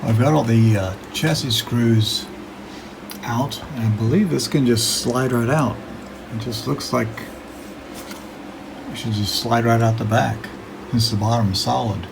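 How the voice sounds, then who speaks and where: A man with a gravelly voice narrating a casual hands-on video, hesitantly thinking aloud as he works. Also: mid-range voice, measured pace, pauses often, background noise. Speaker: hobbyist radio restorer narrating own video.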